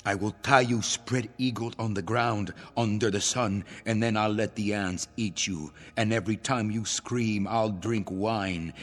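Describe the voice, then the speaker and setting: An actor playing a vengeful, proud revolutionary leader in a radio drama, theatrical, deliberate and menacing. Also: male voice, mid-range voice, a bit bright, slightly rough. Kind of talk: old-time radio drama acting. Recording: long hall reverb, music underneath. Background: dramatic music.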